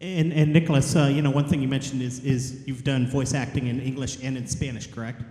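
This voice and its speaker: A man moderating a convention panel, asking halting questions with repeated words. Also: mid-range voice, steady tone, mellow. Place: large convention hall. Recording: echoey convention hall.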